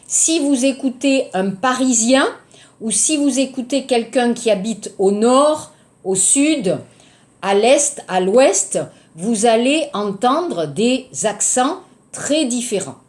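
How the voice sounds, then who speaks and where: French teacher lady, southern accent, talks real slow, highish voice, repeats stuff for students. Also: animated. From southern France. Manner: didactic, repeats key words, checks understanding. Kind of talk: recorded online language lesson.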